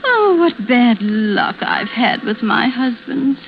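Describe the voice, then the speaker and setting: An actress playing a murderous, scheming widow who taunts with false helplessness in an old-time radio suspense drama. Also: female voice, fairly high pitch, sing-song, creaky, slight background noise, heavily acted. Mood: mock sorrow. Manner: taunting, theatrical, drawn-out monologue. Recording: muffled vintage radio recording with music.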